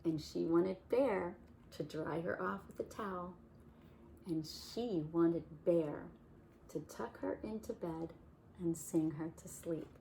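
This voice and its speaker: Gentle slow-reading woman storyteller. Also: on the low side, creaky, a little hiss or hum, low-quality recording. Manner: gentle, asks the child questions about the pictures. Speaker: children's story reader. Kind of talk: storytime read-aloud for kids.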